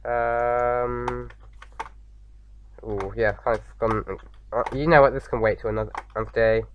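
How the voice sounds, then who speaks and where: A man with a slight sore throat, slightly croaky, chatting casually as he hosts a game playthrough, rambling with lots of fillers. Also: mid-range voice, fairly even intonation, measured pace, dull audio, a little hiss or hum. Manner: rambling, many fillers and restarts. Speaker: gaming video host. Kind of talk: casual let's-play commentary.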